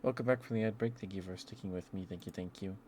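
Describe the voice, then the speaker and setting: A man's voice: low-pitched, fast talker, dark tone, slight background noise. A man hosting a casual ASMR livestream, rambling and talking affectionately to viewers close to the microphone. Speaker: livestream host.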